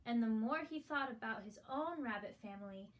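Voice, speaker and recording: A woman's voice: fairly high pitch, animated, normal speed, muffled recording. A female guest reader reading a children's picture book aloud.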